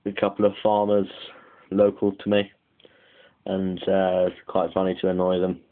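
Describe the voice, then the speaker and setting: Male video maker, low-key courteous asides, quick delivery. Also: completely flat delivery, narrow, tinny audio. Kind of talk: casual aside to camera.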